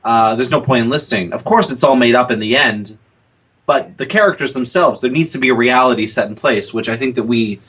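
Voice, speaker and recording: A man's voice: medium pitch, fairly quick, fairly bright tone, slightly rough. A man speaking as a guest on a podcast, discussing loosely and casually and restating his points. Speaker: podcast guest, audio series creator.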